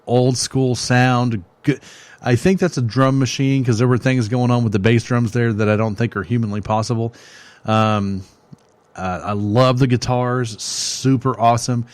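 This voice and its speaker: A man's voice: mid-range voice, fairly even intonation, moderate pace, no echo. A man hosting a metal radio show, reviewing songs in a rambling, joking way with many fillers, his voice starting to give out. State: losing his voice. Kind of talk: casual music review chat.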